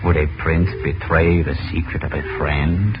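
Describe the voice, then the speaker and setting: An actor playing a smooth, sorrowful prince in a 1940s radio serial, speaking formally and solemnly as he coaxes a naive girl. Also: very deep voice, fairly even intonation, moderate pace, noisy background. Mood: soulful coaxing. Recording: dull old radio recording with music.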